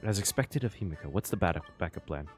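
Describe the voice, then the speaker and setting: A man's voice: low voice, expressive, brisk, faint music behind. A man giving casual, profane, slangy reaction commentary as he plays a game. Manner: slangy, blunt, reacting aloud. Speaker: gaming reaction streamer.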